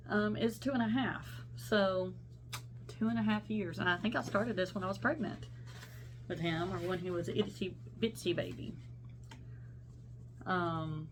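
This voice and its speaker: A woman's voice: medium pitch, a little hiss or hum. A young woman talking casually about her cross-stitch projects, rambling and self-interrupting, her voice congested from a sinus infection. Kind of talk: casual show-and-tell vlog.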